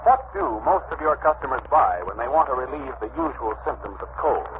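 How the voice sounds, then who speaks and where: Male interviewer for old-time radio advert; polite, brisk pace, tinny recording. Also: mid-range voice, animated, background noise. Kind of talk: scripted vintage radio advert dialogue.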